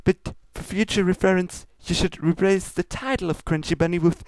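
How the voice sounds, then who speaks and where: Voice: mid-range voice, steady tone, normal speed, a little mellow, no echo, natural voice. A reader voicing a stern, regal pony princess who speaks in a pompous, formal, scolding manner for a comedic fan fiction story. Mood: stern, official.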